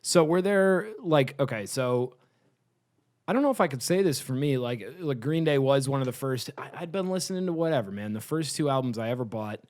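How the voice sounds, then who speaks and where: A man hosting a podcast in casual interview chat, animated and chatty, swearing freely. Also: medium pitch, brisk. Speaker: podcast host.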